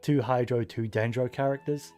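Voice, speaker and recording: A man's voice: medium pitch, fairly even intonation, moderate pace, mellow. A man giving casual gaming commentary, thinking aloud in a muttering way and swearing casually. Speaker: game streamer. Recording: game music behind the voice. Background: game music.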